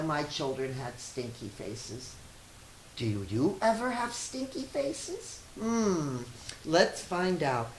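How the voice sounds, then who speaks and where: A woman teacher reading a picture book to children, with chatty, expressive asides and questions. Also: low-pitched. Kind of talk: animated read-aloud with chatty asides. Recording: roomy echo with faint hiss.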